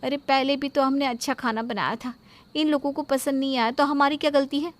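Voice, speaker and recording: Young cartoon housewife, high voice, fed-up and indignant female actor. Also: steady tone, a little fast, natural voice. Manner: complaining, indignant. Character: exasperated young daughter-in-law, complaining, put-upon. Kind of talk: Hindi cartoon story acting.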